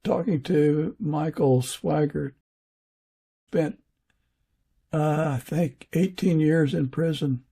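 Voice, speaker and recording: A man hosting a podcast in a casual interview style, talking haltingly with pauses mid-sentence. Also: on the high side, vocal fry, dark tone, breathy edge. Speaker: podcast host.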